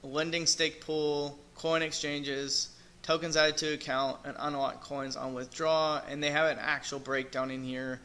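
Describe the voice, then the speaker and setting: A man's voice: on the high side, flat delivery, measured pace, pushed and bright. A man talking casually through a crypto project review, thinking aloud with lots of fillers while losing his voice. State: losing his voice, clearing throat. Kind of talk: casual screen-share review.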